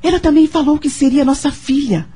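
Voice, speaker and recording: An actress playing a devout, tactful young Brazilian wife, speaking sincerely and a little tentatively in a radio drama. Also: female voice, high voice, brisk, mellow, close to an everyday voice. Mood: confiding, tentative. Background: background music.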